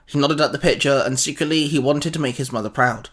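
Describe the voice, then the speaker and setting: A man narrates a scripted story in a dramatic style. His voice sits a little higher than most men's, and he reads as a storyteller would. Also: quick pace, fairly bright tone.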